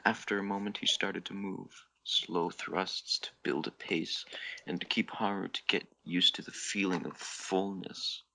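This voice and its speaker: A low-voiced man reads fanfiction as a comic dramatic narration for a hobby podcast. His tone is bright and forceful with plenty of fry, and he trips over words now and then. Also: little pitch movement, unhurried, rough recording. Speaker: podcast member reading fan fiction.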